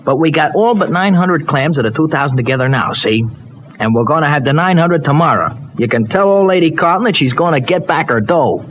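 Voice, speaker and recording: Guy acting eager streetwise american kid in old radio soap, slangy, bouncy pitch, hissy noisy recording. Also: lively intonation, brisk, muffled recording, lightly acted. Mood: reassuring. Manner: colloquial, folksy slang with loose grammar. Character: slangy, eager young male dairyman, streetwise but well-meaning.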